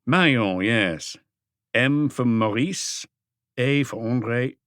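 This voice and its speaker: A man voicing a calm, authoritative chief police detective, terse and measured, in an audiobook. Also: medium pitch, no echo, clean studio sound.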